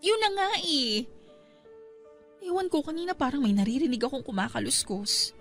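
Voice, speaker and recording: A woman's voice: high-pitched, very animated, normal speed, faint music behind. A woman playing an anxious, earnest young pregnant wife in a radio drama. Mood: worried. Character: young pregnant wife worried about neighbor child. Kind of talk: Tagalog radio drama acting.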